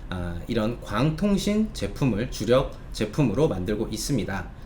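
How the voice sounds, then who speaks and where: A man hosting a stock review show, addressing online viewers in polite, formal speech with drawn-out hesitations between phrases. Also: medium pitch, a little hiss or hum.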